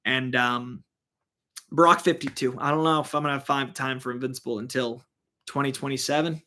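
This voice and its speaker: A man's voice: mid-range voice, steady tone, moderate pace, sharp tone. A man hosting a comics livestream, talking casually and rambling with lots of fillers as he thanks viewers. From born in Virginia, raised in Massachusetts. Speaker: host of a comics news livestream.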